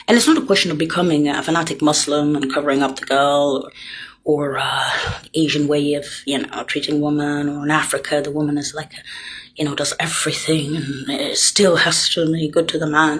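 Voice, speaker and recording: An older woman with a very husky, breathy voice that sits low in her register. She rambles on, dropping to near-whispers and then punching words out loud, speaking straight at her listeners in an accusing tone. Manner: lurches between hushed whispers and loud emphatic bursts; accusatory, addresses listeners directly. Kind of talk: rambling monologue to camera.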